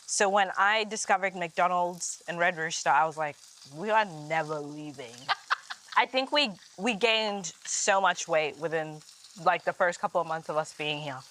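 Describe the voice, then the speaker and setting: A woman's voice: on the low side, moderate pace, rough recording. Laughing woman from Uganda. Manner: laughing, lively storytelling. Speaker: guest. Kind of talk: casual chat.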